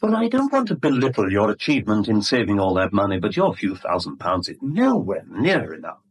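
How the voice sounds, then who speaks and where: A genial blackmailer in a seventies radio play. His delivery swoops with relish, and the voice has a slightly husky edge. He is suave and wordy, teasing his victim with mock courtesy. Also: on the low side, huge pitch swings, a little fast, heavily acted. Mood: silkily threatening. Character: smug, genial, middle-aged male blackmailer, mockingly courteous. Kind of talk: 1970s radio drama acting.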